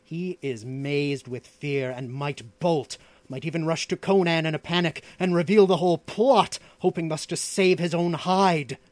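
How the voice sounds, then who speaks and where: A man's voice: fairly high pitch, unhurried, dry recording, pitched above the performer's own voice, natural voice. A man voicing an ambitious, scheming outlaw in a fantasy audiobook, with an imperious, mocking, declaiming delivery. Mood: commanding. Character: ambitious, scheming, arrogant male outlaw plotter, impatient master. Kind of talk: dramatic fantasy audiobook dialogue.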